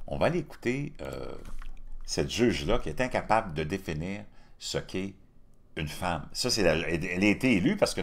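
Male host, 50s, Quebec accent; deep voice with lively swings, indignant commentator. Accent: Quebec French. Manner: animated, indignant, repeats words, frequent pitch jumps. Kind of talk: casual opinion commentary. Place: home studio with virtual backdrop.

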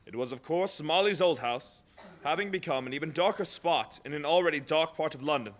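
Casting: male narrator for a theatre production, somewhat high-pitched, reading scripted storytelling. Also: a little fast. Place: live on a college hall stage before an audience.

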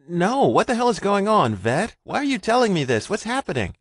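A man's voice: mid-range voice, animated, moderate pace, no echo, clean studio sound, voice pushed up, close to an everyday voice. A man voicing a small, anxious, educated young man in an audiobook, fretful and wordy. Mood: alarmed. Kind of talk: audiobook character reading.

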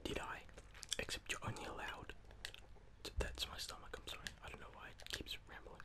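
A young man giving rambling, casual, self-deprecating ASMR gameplay commentary in a breathy whisper, close to the microphone. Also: a little fast. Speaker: gaming video host. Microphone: close microphone, voice-over on gameplay.

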